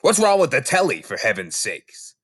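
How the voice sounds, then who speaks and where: Man playing a gruff, irritated dad. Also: mid-range voice, wildly swooping pitch, moderate pace, a bit bright, heavily acted. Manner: irritated, dismissive. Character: gruff dismissive father, scornful of books.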